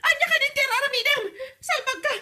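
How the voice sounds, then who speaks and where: A woman's voice: extremely high pitch, fast talker, pushed and bright, full character voice. A woman acting a gossiping neighbour in a comedy drama, exclaiming and whining in defiance. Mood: indignant.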